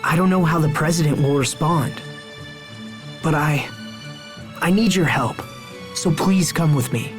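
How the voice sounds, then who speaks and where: An actor playing an earnest, sincere young hero in a video game, plain-spoken and determined. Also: male voice, on the high side, unhurried, pauses often, lightly acted. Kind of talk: dramatic video game voice acting. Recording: music behind the voice.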